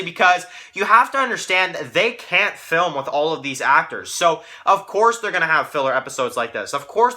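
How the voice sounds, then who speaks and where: A man with a strikingly bright, sharp voice that sits a little high for a male speaker. He hosts a casual review video, speaking straight to fans. His delivery rambles, circling back and qualifying his points as he goes. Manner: rambling, repeats himself, heavy hedging. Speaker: host of a TV review channel.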